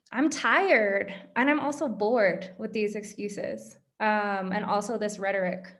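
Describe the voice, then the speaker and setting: A woman's voice: medium pitch, slow pace, fairly bright tone. A woman co-hosting a student webinar, speaking plainly and conversationally and addressing the audience directly. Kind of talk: presenting a discussion talk.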